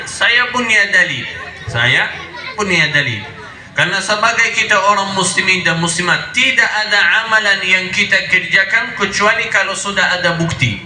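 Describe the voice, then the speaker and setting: A man's voice: high-pitched, sharp tone, echoey room, a little hiss or hum. A man preaching to a live congregation with an Arabic accent, slightly stuffy from a cold, often asking the crowd to answer back. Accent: Arabic-accented Indonesian. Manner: call-and-response with the crowd, emphatic. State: has a cold. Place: outdoor religious gathering on a street.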